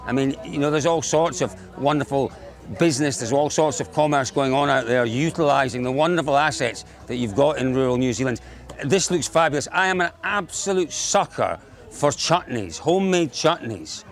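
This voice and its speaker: A Scottish man presenting outdoors at a country market. He jokes easily and gushes about everything he sees. His voice sits at an ordinary middle pitch for a man. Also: expressive, normal speed. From Scotland. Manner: jovial, joking, enthusiastic. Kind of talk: chatty on-location presenting.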